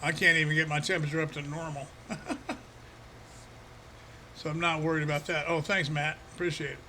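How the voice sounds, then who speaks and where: Relaxed man streaming a farming game: froggy throat, fairly high, bright voice, desk mic, faint hiss. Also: a little fast. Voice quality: froggy, slightly hoarse throat. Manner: easygoing, chuckling, talks to chat by name. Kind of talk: casual live-stream chat while playing a farming game.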